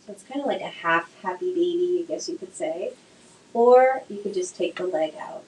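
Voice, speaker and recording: A woman teaching a slow yoga class with gentle, soothing cues, her voice a little nasal from a cold. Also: on the low side, animated. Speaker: yoga instructor. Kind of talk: guided yoga class instruction. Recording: echoey room, faint noise.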